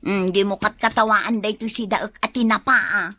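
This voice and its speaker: A woman's voice: medium pitch, steady tone, moderate pace, bright, forceful tone, dry recording. An actress playing a broke young working woman in an Ilocano comedy radio drama, chatty, exclamatory and whiny. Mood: pleading, bantering.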